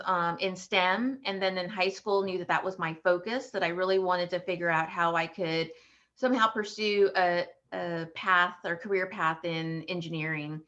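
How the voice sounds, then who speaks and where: Bright-voiced Texan woman, halting and hesitant. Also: lowish voice, dull audio. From Texas. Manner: halting, many ums and restarts. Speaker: panelist, patent attorney. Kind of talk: career story for students.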